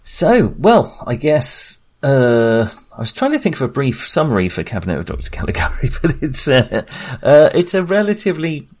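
A man hosting a podcast in a casual discussion style, halting and often searching for words. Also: medium pitch, sing-song, a little mellow. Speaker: podcast host.

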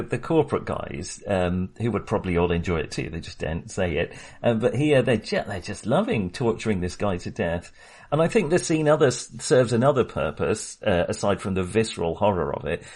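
A man talks films in a casual, meandering way, full of ums and little laughs. His voice sits low with a husky edge. He sounds relaxed and chatty. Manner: rambling, hesitant, chuckles often. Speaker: podcast host. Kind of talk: casual film discussion.

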